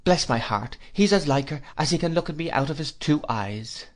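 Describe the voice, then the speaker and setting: A man voicing a brisk, strong-willed elderly aunt in an audiobook, speaking decisively and with emphasis. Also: on the high side, expressive, voice pushed up, lightly acted. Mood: emphatic. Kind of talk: audiobook character reading.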